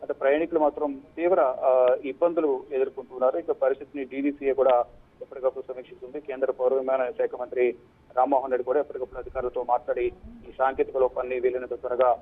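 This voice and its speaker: A man giving a live news report by phone, in a halting, hesitant way. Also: mid-range voice, flat delivery. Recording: muffled narrow phone line.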